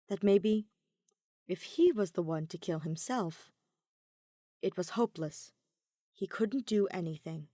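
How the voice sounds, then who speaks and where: A woman narrating fan fiction like an audiobook, measured and emotive, with dramatic pauses. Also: mid-range voice, a little mellow, no room sound, clean studio sound. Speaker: podfic reader.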